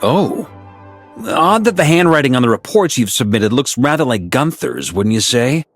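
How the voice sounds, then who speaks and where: An actor playing a weary middle-aged knight commander in a video game, who sighs in exasperation, teases dryly and gives gruff orders. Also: male voice, mid-range voice, sing-song, normal speed. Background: soft game music.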